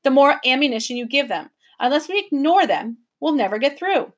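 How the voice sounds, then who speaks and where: A measured, firm old professor who keeps his voice steady and stern, as a woman reads him. A storybook guardian laying down the rules to children, with a fairly high voice for the part. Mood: urging. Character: calm, stern older male professor.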